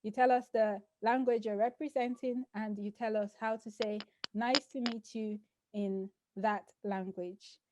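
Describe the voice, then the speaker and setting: A woman's voice: on the high side, steady tone, unhurried, warm tone, slight background noise, low-quality recording. A woman hosting a casual group chat, teasing the others and laughing as she leads. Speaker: host.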